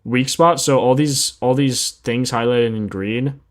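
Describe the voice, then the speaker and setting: A man's voice: mid-range voice, unhurried. A young man hosting a sports betting livestream, chatting casually in slangy, informal language and thinking aloud as he goes. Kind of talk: casual livestream chat and commentary.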